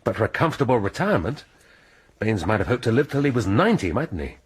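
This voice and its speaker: Blunt, deep-voiced police inspector. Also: male voice, sing-song, fast, husky, exaggerated voice. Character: gruff sardonic older male police inspector. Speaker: police detective. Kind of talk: radio drama acting.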